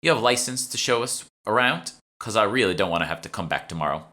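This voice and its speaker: A bossy, impatient young woman played by a man in an audiobook, curt and used to leading the way. The voice is bright and forceful. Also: fairly low pitch, expressive, fast, pitched above the performer's own voice. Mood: businesslike. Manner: curt, commanding. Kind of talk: audiobook character dialogue.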